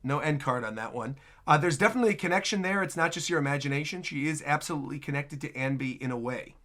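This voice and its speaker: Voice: mid-range voice, fast. A host reacting to game trailers in casual, exclamatory commentary, talking half to themself as they watch. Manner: animated, exclamatory, talks to self.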